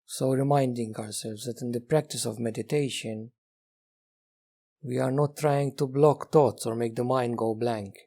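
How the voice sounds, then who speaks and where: A man leading a guided meditation as a teacher, speaking gently and unhurriedly with long pauses between phrases. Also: medium pitch, fairly even intonation.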